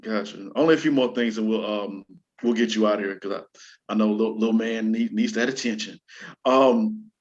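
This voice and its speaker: A man's voice: lowish voice, normal speed. Dull-sounding recording of a male host. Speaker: show host interviewing a guest. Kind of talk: casual interview chat. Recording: dull, lo-fi audio.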